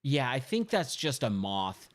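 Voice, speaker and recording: A hoarse man chatting casually while he streams himself playing pinball, thinking aloud and getting sidetracked mid-sentence. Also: mid-range voice, big pitch swings, a little slow. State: hoarse, scratchy voice. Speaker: game streamer. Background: game music.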